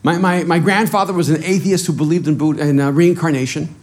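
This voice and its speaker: A middle-aged man from El Salvador whose first language is Spanish, preaching a sermon as a pastor in an animated, self-deprecating way, often repeating words as he restarts. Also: on the high side, fast talker.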